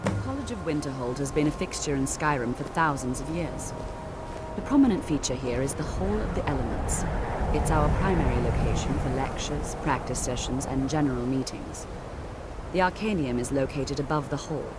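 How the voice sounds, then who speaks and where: A woman's voice: extremely low pitch, normal speed, heavy background noise. An actress playing a stern, businesslike senior wizard woman in a fantasy video game, formal and authoritative. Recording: loud outdoor ambience behind.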